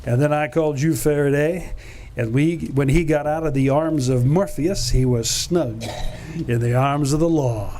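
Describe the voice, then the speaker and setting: Guy doing old radio drama, easygoing wisecracks, bit higher voice, faint room hum. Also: moderate pace, dark tone. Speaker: actor playing Boston Blackie in a live radio play. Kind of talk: 1940s radio acting. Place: stage of a museum theater.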